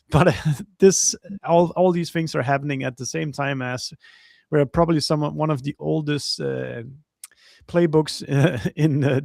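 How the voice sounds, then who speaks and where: Male podcast host, gravelly, slightly high voice, halting delivery full of fillers, dry close sound. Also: no room sound. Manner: halting, many fillers and restarts, laughs while talking. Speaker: podcast host, company co-founder. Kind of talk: conversational interview intro.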